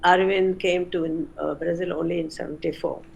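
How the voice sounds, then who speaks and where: An older woman, a retired chemistry professor recalling her career in an interview, unhurried with frequent pauses. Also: fairly low pitch, fairly even intonation, creaky, a little hiss or hum. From India. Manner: unhurried, many "uh" pauses, self-corrects. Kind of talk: reflective interview answers.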